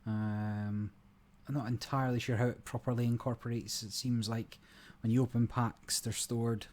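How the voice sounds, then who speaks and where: A man hosting a gaming channel, talking through a card game in a relaxed, rambling way, his voice a little croaky. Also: medium pitch, moderate pace. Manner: relaxed, rambling, many fillers. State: slightly croaky voice. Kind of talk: casual gameplay commentary.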